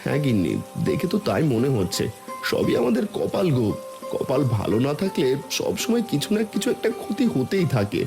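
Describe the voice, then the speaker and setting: A man's voice: mid-range voice, huge pitch swings, brisk, vocal fry, music in the background. A man voicing a hapless, bewildered village father in a cartoon, with a plaintive manner. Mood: resigned, puzzled. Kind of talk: cartoon voice acting.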